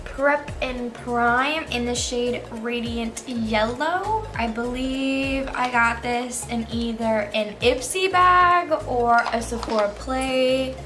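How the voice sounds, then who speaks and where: A young woman with a high voice talks through her makeup routine, chatty and loose and full of fillers. She still sounds sleepy, as if she just woke up, and faint music plays softly behind her. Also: takes it slowly, few pauses. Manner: chatty, loose, heavy use of "like". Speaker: beauty vlogger. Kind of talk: casual makeup tutorial.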